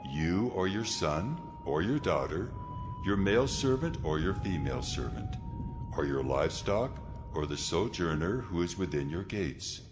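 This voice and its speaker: A very deep, solemn God. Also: male voice, very animated, measured pace. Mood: solemn command. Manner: solemn, declaratory. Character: God, stern commanding male deity giving laws. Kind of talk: dramatized scripture audio. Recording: music behind the voice.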